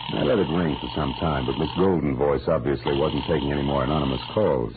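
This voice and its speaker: An actor playing a cool, world-weary American private detective in 1940s radio style, clipped and deadpan. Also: male voice, rumbling low, narrow, tinny audio, background noise. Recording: old band-limited radio broadcast, music behind.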